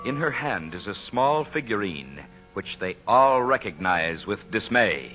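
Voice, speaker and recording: A man's voice: lowish voice, big pitch swings, measured pace, bright, forceful tone. An American man announcing and narrating in a theatrical, emphatic old-time radio style. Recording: dull old radio recording with music.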